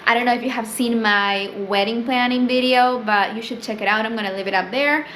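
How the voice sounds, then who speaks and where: A woman's voice: a little high, brisk, fairly bright tone, a little hiss or hum. Chatty young Peruvian woman, Spanish accent. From Peru. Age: young adult. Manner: chatty, fills with "like" and "um", rises for quoted thoughts. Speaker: small business owner vlogger. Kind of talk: casual chat to camera. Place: small indoor room with tea stock shelf.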